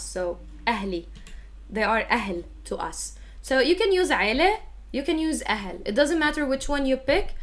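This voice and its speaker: A woman with a Levantine Arabic accent, a native Arabic speaker, teaching a live online language lesson in an explanatory, emphatic way. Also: medium pitch.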